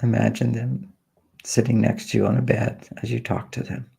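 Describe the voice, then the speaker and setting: A man with a mellow, gravelly voice leads a guided meditation. He talks slowly and softly, leaving long pauses, and his tone is husky and a little breathy. His pitch stays flat and even. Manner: slow, soft, soothing delivery with long pauses.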